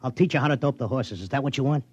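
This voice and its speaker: A man's voice: medium pitch, rapid-fire, slightly rough, lightly acted. An American man playing a brash, stubborn horse-racing man with blunt, slangy talk in a 1950s radio drama. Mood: eager. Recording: muffled vintage radio recording with music.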